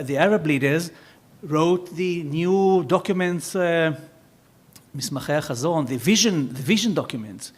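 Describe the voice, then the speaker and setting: A man speaking as an expert on a panel, explaining emphatically, repeating words and posing his own questions as he answers. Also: sits high.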